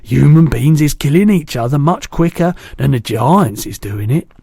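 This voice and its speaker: Man voicing a kindly storybook giant, loud, raised pitch, gravelly, muddled grammar. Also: animated, normal speed, no room sound, clean studio sound, heavily acted. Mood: earnest. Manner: jumbled made-up words, booming and eager. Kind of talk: reading a storybook aloud.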